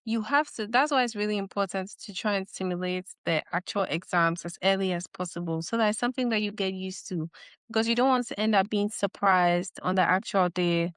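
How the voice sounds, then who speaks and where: A woman on a webinar panel giving measured, advisory study tips in casual discussion. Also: mid-range voice, fairly bright tone, smooth, dry recording, clean studio sound.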